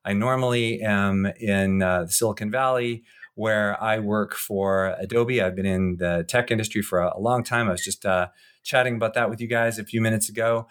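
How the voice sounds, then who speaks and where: A man co-hosting an interview podcast, introducing and questioning guests in an informal, somewhat halting and wordy way. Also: fairly low pitch, steady tone, fairly bright tone, clear, resonant tone.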